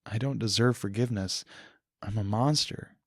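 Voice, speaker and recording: A man's voice: fairly low pitch, moderate pace, no room sound, clean studio sound. A man narrating a first-person story as a dramatic audiobook reading.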